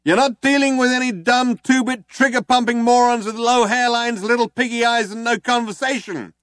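A man voicing a self-righteous male alien cop who shouts through a loud hailer and lectures while he threatens. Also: very high-pitched, fairly even intonation, moderate pace, clear, resonant tone, no echo, clean studio sound, voice pushed up. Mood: pompous shouting.

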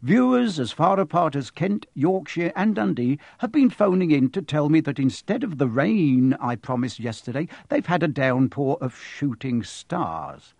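A man's voice: a little high, sing-song, measured pace, gravelly, hardly any pauses, darkish tone, no room sound, exaggerated voice. A man voicing a genial, folksy male television weather forecaster with a chatty delivery in an audiobook.

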